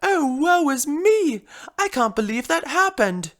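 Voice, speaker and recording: A woman's voice: a little high, measured pace, close to an everyday voice. A woman voicing a refined, fretful female pony fashion designer with a theatrical, genteel manner in a first-person story. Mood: theatrical lament. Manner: theatrical, genteel, uses "darling". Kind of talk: first-person fan story narration in character.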